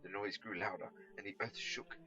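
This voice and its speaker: A man reading a storybook aloud as an expressive narrator. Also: fairly low pitch, moderate pace, muffled recording. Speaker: audiobook reader.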